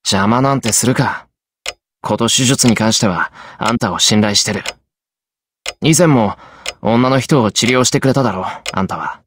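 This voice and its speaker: A man's voice: medium pitch, moderate pace, a bit bright, a little hiss or hum, lightly acted. An actor playing an earnest, hot-headed teenage boy in an anime visual novel, blunt and insistent. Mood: defiant, pleading.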